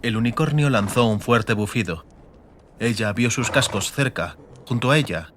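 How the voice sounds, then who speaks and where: A man's voice: mid-range voice, normal speed, fairly bright tone, a little hiss or hum. A man with an accent from Spain, narrating a fantasy audiobook in a dramatic scripted style. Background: music.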